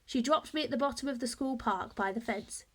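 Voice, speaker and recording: A woman reading a children's story aloud in expressive narration, like a teacher to a class. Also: a little high, fairly quick.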